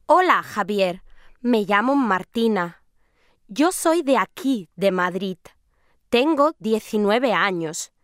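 A woman's voice: medium pitch, animated, takes it slowly, no room sound, studio quality. A woman playing a friendly young woman in a scripted language-lesson dialogue, speaking carefully. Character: friendly young woman from Madrid, aged nineteen.

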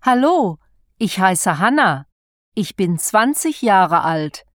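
A young woman reading scripted lines for a language listening exam with slow, careful articulation. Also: medium pitch, sing-song, dry recording, studio quality. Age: 20s. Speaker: exam dialogue reader.

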